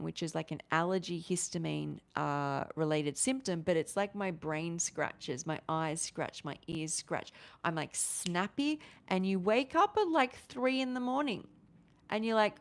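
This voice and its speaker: A woman chats on a livestream, answering viewers. Her voice sits on the low side. She rambles and restarts her sentences, and she talks with her hands. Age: 40s. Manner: rambling, animated, restarts often, gestures with hands. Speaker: livestream host.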